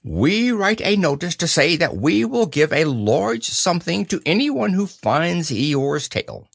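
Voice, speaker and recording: A self-important storybook owl, acted by a man, lecturing in a long-winded, pompous way. The voice sits high and swoops about, with drawn-out, deliberate words. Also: sing-song, gravelly, no room sound, clean studio sound, heavily acted. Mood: indignant, insistent. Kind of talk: storybook character voice.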